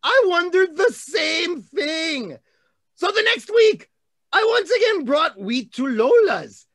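A middle-aged man voicing an excitable, big-hearted dinosaur hand puppet, telling a children's story with theatrical delivery. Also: extremely high pitch, takes it slowly, no room sound, exaggerated voice. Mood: animated. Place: room at home. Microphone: video call, computer mic.

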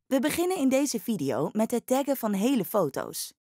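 A woman reading a scripted instructional voice-over, explaining each step clearly as a tutorial narrator. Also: fairly high pitch, sing-song, normal speed, dark tone, no room sound, studio quality.

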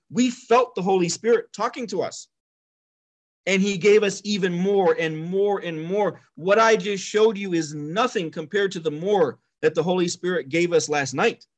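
Man, host, online bible teaching, excitable, fairly high voice, video call audio. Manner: excited, repetitive, addresses listeners as brothers and sisters. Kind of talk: enthusiastic preacher-style introduction.

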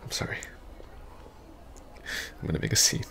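A man playing a heartbroken, earnest ex-boyfriend in an intimate ASMR roleplay, speaking haltingly and sometimes dropping to a near whisper. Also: pushed and bright, natural voice. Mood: pleading, heartbroken. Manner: halting, hesitant, breathy half-whispers. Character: heartbroken, earnest young ex-boyfriend pleading for closure.

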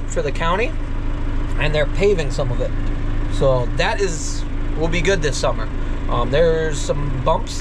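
A man in his thirties, a farm vlogger, talking casually and thinking aloud as he works from a tractor cab, filmed on a cab-mounted action camera. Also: on the high side. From New York, USA. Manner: rambling, easygoing, thinking aloud. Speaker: farmer vlogger. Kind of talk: casual narration while working. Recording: loud engine noise behind voice. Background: loud tractor engine.

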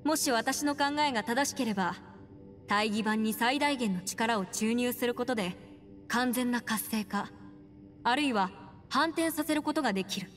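Actress as a confident young woman, fairly high voice. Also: moderate pace, natural voice. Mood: confident, hopeful. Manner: assured, explanatory, crisp phrasing. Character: bright, confident young woman legal scholar. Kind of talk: Japanese anime-style game voice acting. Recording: long reverb, music underneath.

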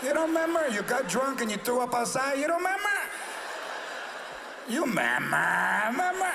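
A man in his forties doing stand-up comedy on a large theater stage with a handheld mic, switching quickly between voices and Spanish phrases. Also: extremely high pitch, sing-song, creaky, echoey room. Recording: live theater show with audience noise. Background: audience noise.

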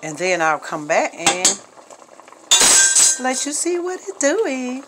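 A woman's voice: fairly high pitch, big pitch swings, slow. A woman, chatting her way through a home cooking video in her kitchen, often calling out to her viewers. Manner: chatty, homey, keeps saying y'all. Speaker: home cook vlogger. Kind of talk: casual cooking narration. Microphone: phone camera held over the pot. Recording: noisy kitchen phone recording. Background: clinking pots and utensils.

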